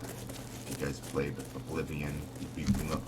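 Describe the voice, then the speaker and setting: A man's voice: very deep voice, level tone, unhurried, very noisy. A man giving casual, rambling commentary on a video game playthrough, talking to viewers and to himself. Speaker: gaming video host. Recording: voice distant from mic under loud music. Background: loud game music.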